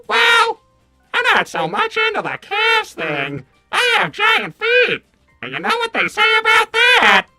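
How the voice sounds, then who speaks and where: A man voicing a boastful, dim, excitable male cartoon robot in a brash, showy livestream roleplay, with stuttering repeats. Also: extremely high pitch, measured pace, soft background music, heavily acted. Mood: boastful, cheeky. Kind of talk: improvised in-character livestream roleplay.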